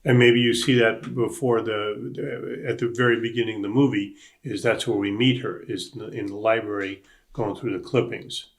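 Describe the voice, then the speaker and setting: A man's voice: lowish voice, steady tone, moderate pace. A man in a casual group discussion who acts out scenes as he explains them. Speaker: panelist in a script discussion.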